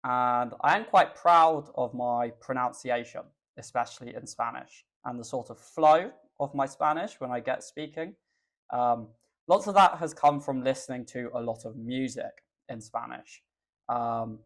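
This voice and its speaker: English man chatting in a relaxed way. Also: mid-range voice. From England. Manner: relaxed, thoughtful, with filler ums. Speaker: podcast guest, English teacher. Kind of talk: casual interview chat.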